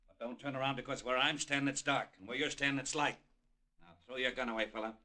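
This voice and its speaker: An actor playing a cold, bullying gangster killer with clipped slang in a 1940s radio drama. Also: male voice, mid-range voice, steady tone, quick pace, pushed and bright, lightly acted. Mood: menacing. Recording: old-time radio recording.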